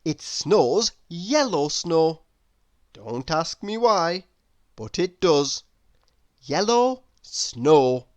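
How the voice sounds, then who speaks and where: A woman with a slightly low voice narrates a children's read-aloud. Her delivery is slow and expressive, with very wide swings of intonation, as she addresses the listener directly. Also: dull audio. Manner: expressive, asks the listener questions. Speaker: storyteller.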